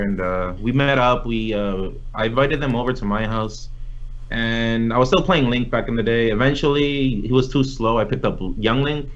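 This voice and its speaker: A man's voice: on the low side, a bit bright. A young man from Nicaragua, an interview guest, telling his story in a casual, rambling way with many fillers and restarts. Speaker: interview guest, competitive gamer. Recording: slightly dull lo-fi audio.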